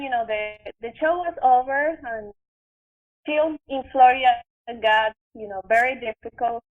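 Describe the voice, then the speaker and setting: Thin, band-limited audio carries a young woman's high voice with a Venezuelan Spanish accent. She talks casually as an interview guest, halting and restarting as she tells her story in a conversational way. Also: narrow, tinny audio. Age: young adult. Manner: halting, filler-heavy, conversational. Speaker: podcast guest, actress and chef.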